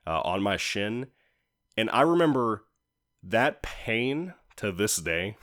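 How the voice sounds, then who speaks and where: Low-voiced man, casual enthusiastic reviewer. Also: a bit bright. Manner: enthusiastic, informal, mildly sweary. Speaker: video reviewer. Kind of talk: casual rambling solo review with personal stories.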